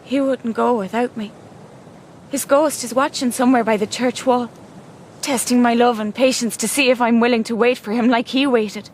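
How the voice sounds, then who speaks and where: A woman's voice: fairly high pitch, fairly even intonation, normal speed, slight background noise, close to an everyday voice. An actress playing a grief-stricken, guilt-ridden young Irish woman in a radio drama, speaking with anguished, obsessive feeling. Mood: obsessive longing.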